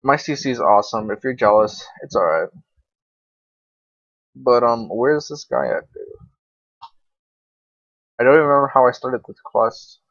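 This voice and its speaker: Young male gamer, medium pitch, off-the-cuff slangy commentary with swearing, muffled lo-fi mic. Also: moderate pace, long pauses, fairly bright tone, dry recording. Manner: slangy, swearing, rambling to himself. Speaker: gaming video creator. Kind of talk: casual unscripted game commentary. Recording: dull, lo-fi microphone.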